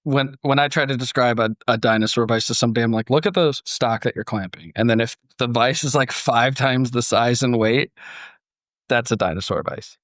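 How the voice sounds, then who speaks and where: A man with a medium-pitched voice hosts a panel podcast. He sounds conversational and easygoing in style, whether chatting or reading a story aloud. The recording is close and dry. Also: fairly quick, fairly bright tone, no echo. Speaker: podcast host.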